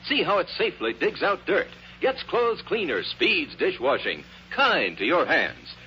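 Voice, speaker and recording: Male ad pitchman, bright high voice, dull vintage radio with faint noise. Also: huge pitch swings, unhurried. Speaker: commercial pitchman. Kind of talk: scripted 1950s radio advertising. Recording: dull, slightly noisy 1950s radio.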